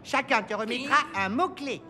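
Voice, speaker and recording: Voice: medium pitch, normal speed, bright, forceful tone, close to an everyday voice. An actor voicing a pompous, bossy chief Christmas elf in a children's cartoon, speaking formally and ceremoniously. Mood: solemn, officious. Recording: long echoing hall, music behind.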